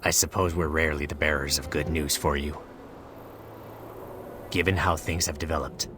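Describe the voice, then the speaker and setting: Very deep, solemn male sage. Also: noisy background. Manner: solemn, formal, measured. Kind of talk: video game voice acting.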